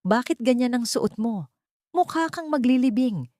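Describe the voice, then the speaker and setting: A woman's voice: on the high side, animated, moderate pace, mellow, no room sound, voice pushed up, close to an everyday voice. Cold, dismissive husband for audio melodrama, acted by a female narrator, scornful and curt, dry sound.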